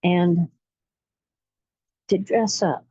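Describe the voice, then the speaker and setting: Slow, mellow woman guiding relaxation. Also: lowish voice, steady tone, breathy edge, dry recording, dull audio. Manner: soothing, unhurried, pausing between short phrases. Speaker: guided imagery facilitator. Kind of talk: guided relaxation meditation.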